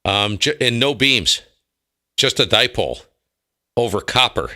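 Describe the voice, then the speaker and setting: A man's voice: medium pitch, animated, unhurried, pushed and bright. An American man chatting casually on ham radio, enthusiastic and full of slang. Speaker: ham radio operator and streamer.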